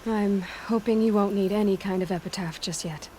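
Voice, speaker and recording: An actress playing a guarded, determined young huntress in a video game, with a direct, dry manner. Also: female voice, medium pitch, moderate pace, mellow, slight background noise, close to an everyday voice. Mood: wry, friendly. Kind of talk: video game cinematic dialogue.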